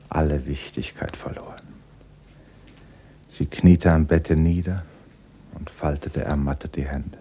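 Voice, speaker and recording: A man narrating a literary radio play in a sombre, measured, restrained manner. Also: rumbling low, dark tone, a little hiss or hum.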